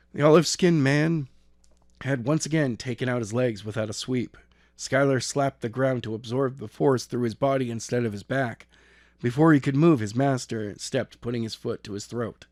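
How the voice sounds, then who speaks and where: A man's voice: medium pitch, darkish tone, no echo, studio quality. A man reading an action story as an amateur narrator, stumbling and repeating phrases as he goes. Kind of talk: scripted narration of an action novel.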